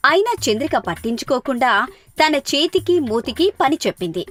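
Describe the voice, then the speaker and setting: A woman's voice: high voice, a bit bright. A woman narrating a scripted children's story and voicing its dialogue.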